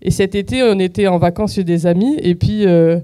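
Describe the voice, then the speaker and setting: A woman's voice: mid-range voice, a little fast, warm tone. A woman giving an earnest, chatty testimony during a church service. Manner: earnest, conversational storytelling. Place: church hall.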